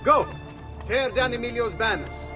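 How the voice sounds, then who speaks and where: A man's voice: very high voice, lively intonation, measured pace, music in the background. A man playing a spirited, grateful leader of thieves with an Italian accent in a game. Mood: triumphant. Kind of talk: cinematic game acting.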